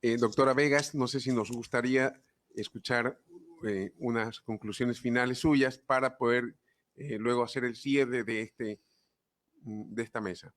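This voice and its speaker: Male moderator, slow measured delivery, formal, hesitant. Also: medium pitch, fairly even intonation. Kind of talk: moderating a panel.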